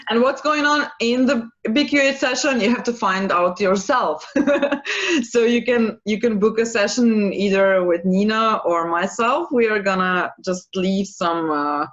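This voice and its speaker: A woman speaking English with a Slovene accent hosts a relaxed chat about healing sessions. She hesitates, restarts her sentences and laughs lightly between thoughts. Her voice comes through a dull, lo-fi video call line. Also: mid-range voice, measured pace. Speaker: quantum healing practitioner, co-host. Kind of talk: casual chat to viewers.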